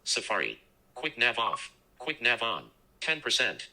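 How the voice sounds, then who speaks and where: Male text-to-speech screen reader, piercingly bright, clipped interface prompts with lively pitch movement. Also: unhurried, a little hiss or hum, rough recording. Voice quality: synthetic, clipped. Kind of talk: synthetic interface announcements.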